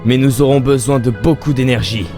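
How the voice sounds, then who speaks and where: A man's voice: mid-range voice, fairly even intonation, a little fast, over music, natural voice. A man playing a brooding, serious male antihero in a cartoon fan dub, grave and stoic.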